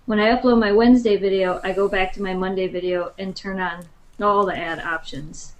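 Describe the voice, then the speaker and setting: Casual woman with a mid-pitched voice. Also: steady tone, a little fast, rough recording. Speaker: panelist, video creator. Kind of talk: casual chat.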